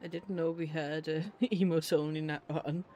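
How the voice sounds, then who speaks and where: A man's voice: a little high, fairly even intonation, normal speed, a little mellow. A man giving casual, rambling, incredulous commentary as he streams a game and banters with viewers. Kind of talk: casual live gameplay commentary. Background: faint game music.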